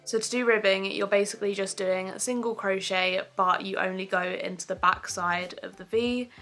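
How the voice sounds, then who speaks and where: A woman's voice: mid-range voice, fairly even intonation, moderate pace, fairly bright tone, faint music behind. A young woman giving a casual, chatty step-by-step crochet tutorial at home, with frequent ums.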